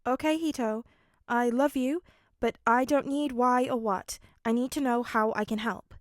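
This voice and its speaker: A woman's voice: on the high side, steady tone, normal speed, no echo, studio quality, natural voice. A woman voicing a capable, kind but stubborn teenage boy in a storybook reading. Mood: focused, firm. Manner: focused, switching from stern to cheery.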